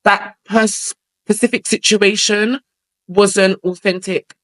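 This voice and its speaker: A man's voice: sits high, fairly even intonation, slow pace, a bit bright. A young man with a feminine voice, talking at length as an interview guest in a casual, rambling way. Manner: chatty, rambling, explains at length with filler phrases.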